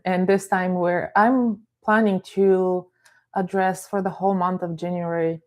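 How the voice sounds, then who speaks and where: A middle-aged woman from Bulgaria, a therapist and life coach giving a calm, explanatory live-streamed talk and greeting viewers by name. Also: fairly low pitch.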